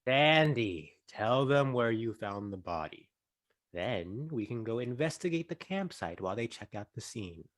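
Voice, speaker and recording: A man's voice: medium pitch, big pitch swings, unhurried, dry recording. An actor playing a male forest animal in a staged reading. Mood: evasive. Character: male forest animal leading the chipmunks away.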